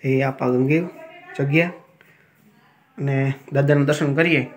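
A man's voice: mid-range voice, steady tone, unhurried. A man, chatting casually as he walks viewers around a riverside temple on a phone camera. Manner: chatty, pointing things out as he walks. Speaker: village vlogger. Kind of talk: casual guided tour.

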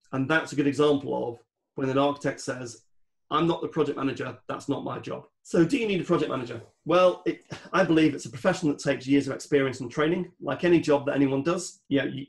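Guy on a podcast, bit of gravelly fry in his voice, explains stuff step by step. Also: mid-range voice, a little mellow. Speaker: guest expert on home renovation project management.

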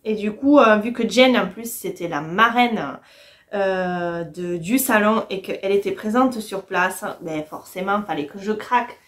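A woman's voice: mid-range voice, unhurried, fairly bright tone. A woman, chatting casually and enthusiastically to the camera as a book vlogger. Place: small furnished room at home, indoors.

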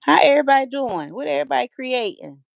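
A middle-aged woman with a gravelly, fry-laced voice, chatting loosely as she hosts a livestream. Her sound is dry and close, picked up in a room at home on a webcam or phone. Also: high-pitched, expressive, quick pace, no room sound, muffled recording. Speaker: livestream host. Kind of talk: casual livestream chat.

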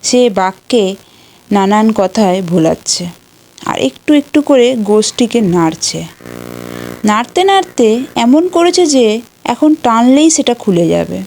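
Female narrator for children's tales, unhurried delivery, voice a little high. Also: sing-song, a little mellow. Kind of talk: scripted storytelling for children.

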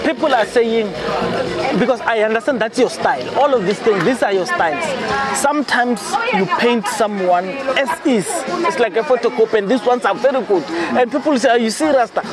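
A man's voice: very high voice, animated, a little fast, over a noisy crowd. A South African man hosting a casual outdoor interview, chatty and laughing often. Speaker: interview host. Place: outdoors on a bench by a reed fence.

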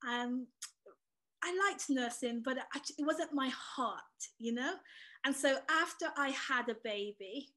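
A woman's voice: sits high, unhurried. Chatty English woman on a video call. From England. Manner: lively, laughing, chatty. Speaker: guest author. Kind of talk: casual video call chat. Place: home room.

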